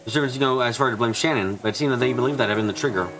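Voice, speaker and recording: A man's voice: medium pitch, rapid-fire, fairly bright tone, low-quality recording. A man reading a visual novel script aloud as narration on a let's play, stumbling now and then over his lines. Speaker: fan dubber doing a let's play stream. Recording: music behind the voice. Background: game music.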